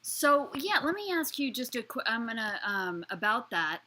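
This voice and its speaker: Woman, interviewer, bright voice, enthusiastic, stumbling questions, video call. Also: fairly high pitch, big pitch swings, moderate pace. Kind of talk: casual friendly interview.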